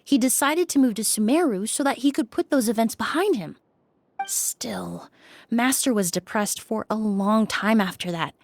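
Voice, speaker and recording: An actress playing a composed young woman perfumer in a video game, measured and politely probing. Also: fairly high pitch, natural voice.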